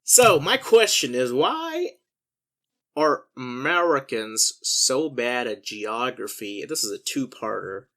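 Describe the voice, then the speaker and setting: A man from India chats casually on a podcast, wry and chatty as he tells stories. His pitch sits in the middle, and he leans into the lines he quotes. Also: very animated, takes it slowly, fairly bright tone. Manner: wry, storytelling, acts out quoted voices. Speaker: podcast co-host. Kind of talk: casual chat.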